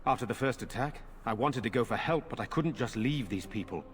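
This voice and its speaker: An actor playing a worried, earnest noble younger brother in a video game. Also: male voice, medium pitch, expressive, a little fast, slight background noise, lightly acted. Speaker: game character.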